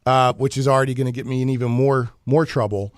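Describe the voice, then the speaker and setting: A man's voice: mid-range voice, steady tone, fast, no echo, clean studio sound. A man hosting a talk show, chatting casually in a rambling, joking, mock-serious way. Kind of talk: casual chatty radio banter.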